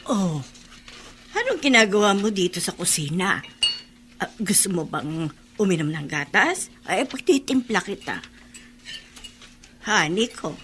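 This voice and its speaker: Radio drama: doting elderly lola, lively slow speech, faint kitchen clatter. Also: female voice, medium pitch, a little hiss or hum. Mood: tender. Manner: tender, gently coaxing. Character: kindly, fussing grandmother doting on a child. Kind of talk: Filipino radio drama acting.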